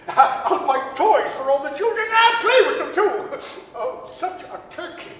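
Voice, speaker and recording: A man's voice: very high voice, big pitch swings, unhurried, a bit bright, exaggerated voice. A man playing a giddy, generous old miser, stammering theatrically in a 1930s radio drama reading. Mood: joyful generosity. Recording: echoey hall, distant mic, hiss.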